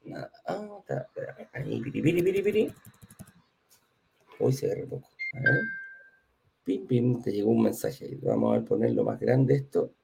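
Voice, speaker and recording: A man's voice: mid-range voice, big pitch swings, normal speed, vocal fry, pauses often, darkish tone, soft background music. A man with a Chilean accent hosting a live class and interview in an informal, chatty way. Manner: chatty, informal, uses affectionate diminutives. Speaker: host of a live real estate investment class.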